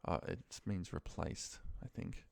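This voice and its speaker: A man's voice: low voice, a little slow, creaky, darkish tone, no room sound. A man thinking aloud in short muttered fragments as he walks through computer work on a screen recording, in an informal way. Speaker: office worker demonstrating a data-entry task.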